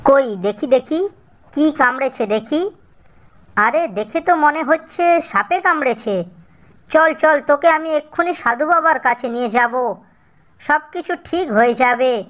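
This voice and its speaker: Man voicing a high-pitched distraught mother bird. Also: expressive, unhurried, a bit bright, exaggerated voice. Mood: alarmed. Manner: pleading, repetitive, emphatic. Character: distraught, vengeful mother tailorbird in a cartoon.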